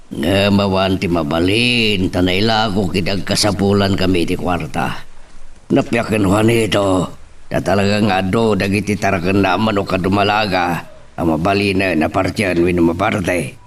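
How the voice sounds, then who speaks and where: A man's voice: low voice, fairly even intonation, moderate pace, far from the mic, natural voice. A man acting an older rural father, practical, pressing and fond, in an Ilocano-accented audio drama.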